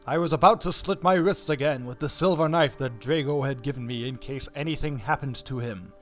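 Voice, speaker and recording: A man's voice: medium pitch, fairly quick. A man narrating a comic story reading in a theatrical, mock-serious way. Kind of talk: dramatic comedic story reading. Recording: music behind the voice.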